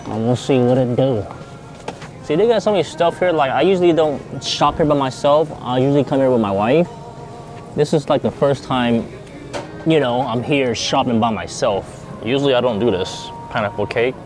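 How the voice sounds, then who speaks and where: A man chatting casually to his viewers as he shops in a grocery store, thinking out loud and repeating himself. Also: medium pitch, normal speed, soft background music. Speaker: vlogger. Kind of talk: casual vlog chat.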